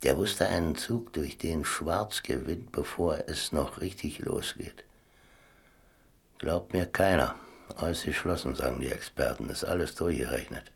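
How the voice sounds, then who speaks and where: Gravelly, very deep old seaman. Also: a little slow, full character voice. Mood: musing, amused. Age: older. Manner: musing, wry, colloquial. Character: old seaman reminiscing about chess, wry, rambling storyteller. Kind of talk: radio play monologue, colloquial first-person storytelling.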